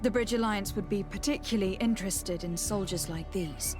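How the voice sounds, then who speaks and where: A woman's voice: medium pitch, moderate pace, music in the background, lightly acted. An actress playing a poised, diplomatic noblewoman in a video game, speaking in a polite, formal, inquisitive way.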